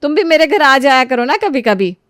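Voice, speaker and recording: A woman voicing a kindly, motherly middle-aged lady who reasons gently in a moral storybook tale. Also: high-pitched, sing-song, normal speed, dry recording, clean studio sound. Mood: reproachful. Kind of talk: animated moral storybook dialogue.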